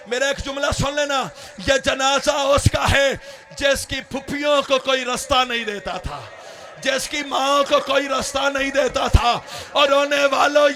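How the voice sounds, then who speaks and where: A man's voice: very high voice, vocal fry, bright, forceful tone. A man delivering an impassioned mourning sermon, crying out and wailing to the mourners. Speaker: religious orator.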